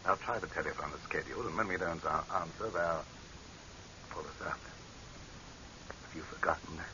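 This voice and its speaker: Man acting a deep-voiced imperious old-radio dictator, hissy recording. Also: moderate pace, highs cut off, close to an everyday voice. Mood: commanding. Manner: pompous, commanding, condescending. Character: imperious older male dictator, haughty, cracking into panic. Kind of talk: 1930s-40s radio horror acting.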